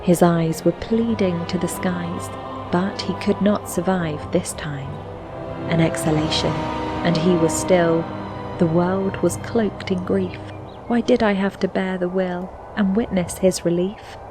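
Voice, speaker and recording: A woman playing a sorrowful, reflective fantasy game heroine, reciting verse in measured phrases with breathy endings. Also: fairly low pitch, steady tone, lightly acted. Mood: grieving, tender. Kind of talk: scripted poetic narration for a fantasy adventure game. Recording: music under the voice. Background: background music.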